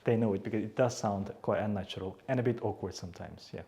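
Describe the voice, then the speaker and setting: Male teacher as interview guest: Uzbek accent, lowish pitch, very mellow delivery, explanatory. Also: fast talker.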